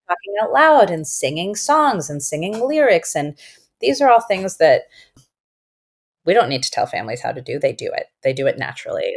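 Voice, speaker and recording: Woman, native Farsi speaker, very low pitch, thoughtful, emphatic, podcast guest. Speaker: guest educator and author. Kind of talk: conversational interview.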